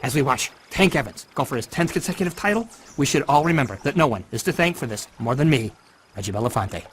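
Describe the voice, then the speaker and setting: Game otter tycoon, brash and self-promoting, medium male pitch, man acting it, light background noise. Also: animated, fast talker, fairly bright tone, natural voice. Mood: pushy. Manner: pushy, self-promoting, interrupting. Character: boastful fast-talking male otter promoter. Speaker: surf promoter.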